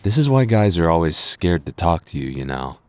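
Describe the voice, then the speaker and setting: Casting: male actor for a bashful young tsundere love interest, low-pitched, stammering, close and dry, for boyfriend roleplay audio. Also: sing-song, brisk, darkish tone, no echo. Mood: flustered protest. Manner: stammering, bashful, easily embarrassed. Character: flustered, defensive tsundere younger man, secretly smitten with his friend. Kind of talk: intimate boyfriend ASMR roleplay.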